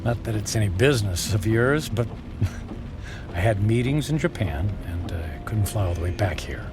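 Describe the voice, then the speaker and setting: An actor playing a wealthy, weary, miserable man in a radio drama, speaking in a halting, guarded way. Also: lowish voice, expressive, music in the background, close to an everyday voice. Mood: guarded, irritated. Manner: hesitant, halting, sighing.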